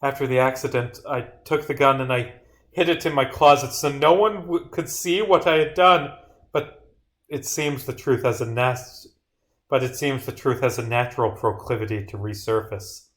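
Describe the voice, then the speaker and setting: A man's voice: medium pitch, moderate pace, fairly bright tone, close to an everyday voice. Man playing a subdued, guilt-ridden young man. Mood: subdued confession.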